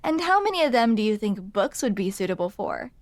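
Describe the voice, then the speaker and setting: A woman's voice: on the high side, sing-song, brisk, dry recording. A woman playing a grumpy but smitten barista in an intimate ASMR roleplay, hesitant, teasing and self-deprecating, close to the microphone. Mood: curious, wry. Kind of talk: ASMR roleplay, intimate one-sided conversation. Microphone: close microphone, dry.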